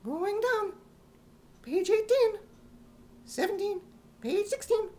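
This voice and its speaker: A man's voice: very high voice, very animated, slow pace, a little mellow, heavily acted. A man voicing a naive, boy-like lift operator in a singsong manner and a put-on British accent, in radio comedy style. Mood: earnest, singsong. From United States. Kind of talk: 1950s radio comedy acting.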